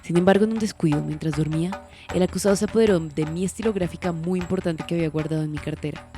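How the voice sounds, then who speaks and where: A woman acts out a refined lady witness in an audio drama. The voice is lowish and very mellow. She speaks formally, with melodramatic injury, over a light bed of music. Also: fairly even intonation, fast talker, lightly acted. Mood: solemn, wounded. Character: refined, theatrically wounded upper-class lady witness.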